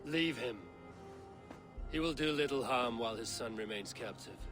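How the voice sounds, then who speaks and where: A man speaking in a solemn, measured way as a video game hero recounting visions. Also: mid-range voice. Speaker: protagonist. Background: game music.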